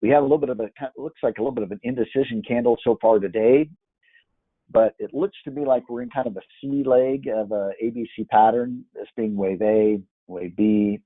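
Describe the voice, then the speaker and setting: A hoarse man walking through market charts on screen, hesitant and full of fillers and restarts. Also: mid-range voice, a little fast, no room sound, phone-quality sound. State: hoarse from yelling. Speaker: market analyst. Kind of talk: screen-share chart walkthrough.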